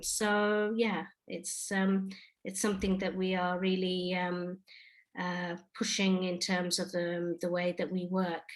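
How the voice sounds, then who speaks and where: A woman's voice: fairly low pitch, low-quality recording. A woman from the United Kingdom, an artist, answering audience questions on a video call webinar, speaking hesitantly with many fillers and restarts. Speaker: artist and project lead.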